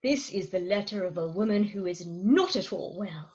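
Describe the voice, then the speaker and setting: A woman playing a brisk, arch, commanding middle-aged wife and mother in a Victorian stage farce. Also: mid-range voice, expressive, darkish tone, highs cut off, lightly acted. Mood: firm, teasing. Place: home, recorded remotely.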